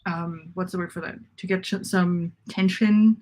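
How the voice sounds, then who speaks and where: A woman's voice: lowish voice, steady tone, normal speed, mellow, poor audio. A woman in a casual book chat, co-hosting and thinking aloud in an informal way, often trailing off mid-thought. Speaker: co-host of a book discussion. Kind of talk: casual fan chat about fantasy novels.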